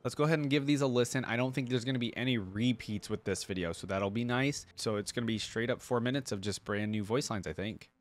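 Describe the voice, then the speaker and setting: A man's voice: mid-range voice, fast talker, few pauses. A young man with an ordinary mid-range voice. He chats casually as a reaction host, rambling through his thoughts in slangy, enthusiastic fan commentary.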